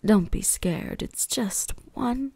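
A woman's voice: medium pitch, slow pace, warm tone, heavily acted. A woman playing an ancient, predatory, seductive vampire huntress in an intimate ASMR roleplay, whispery, teasing and condescending. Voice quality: breathy, whispery.

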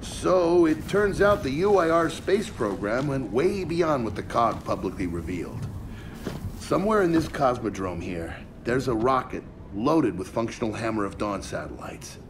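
An American actor playing a cocky, sardonic middle-aged engineer soldier in a video game. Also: male voice, mid-range voice, very animated, moderate pace, noisy background, close to an everyday voice. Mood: cocky, brisk. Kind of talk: video game cutscene acting.

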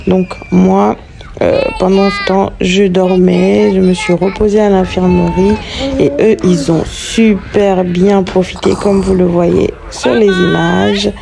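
A woman in her thirties narrating her family vlog in a relaxed, hesitant way with many pauses and ums. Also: mid-range voice, creaky, a crowd in the background. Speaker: vlogger. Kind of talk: casual voice-over narration. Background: music.

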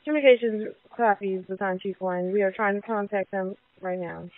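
A woman's voice: mid-range voice, a little fast, dry recording. Woman dispatcher on a tinny radio. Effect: emergency radio. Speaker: emergency dispatcher. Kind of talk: radio dispatch, clipped procedural. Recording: lo-fi narrow radio dispatch audio.

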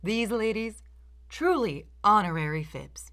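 A woman's voice: medium pitch, animated, unhurried, noisy background. An American woman from Michigan narrating a scripted video essay in a sardonic manner. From Michigan, United States.

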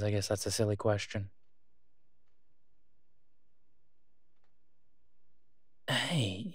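A man playing a worried, devoted boyfriend in an audio roleplay, speaking haltingly and earnestly, as in intimate conversation. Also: fairly low pitch, no room sound, studio quality, close to an everyday voice. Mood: gentle, tentative.

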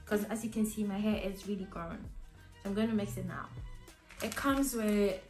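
A young woman with a slightly hoarse voice from a cold, chatting her way through a hair tutorial at home with lots of ums and restarts. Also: mid-range voice, moderate pace. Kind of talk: casual step-by-step tutorial. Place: living room at home, indoors. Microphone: camera in front of her at desk distance. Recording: echoey room with soft music behind.

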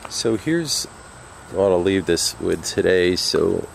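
Deep gravelly middle-aged man vlogging. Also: animated, slow, poor audio. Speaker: urban exploration vlogger. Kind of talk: casual handheld vlog narration to viewers. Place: abandoned derelict house and surrounding woods. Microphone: handheld camera at arm's length. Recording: faint outdoor noise with chirping insects.

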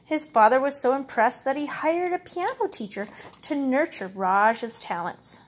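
A woman reading a picture book aloud as a storyteller, with expressive, measured, dramatic phrasing. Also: on the high side, breathy edge. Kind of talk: expressive read-aloud narration.

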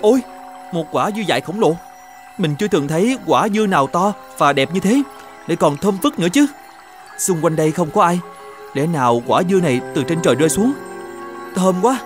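A man voicing a hardworking, earnest young firewood seller in an animated fairy tale, speaking politely and expressively. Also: on the high side, fairly quick, natural voice. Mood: amazed. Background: background music.

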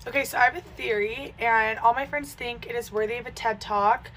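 Young woman, casual chat, slightly high voice, close phone mic. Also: steady tone, a little fast, a bit bright, slight background noise.

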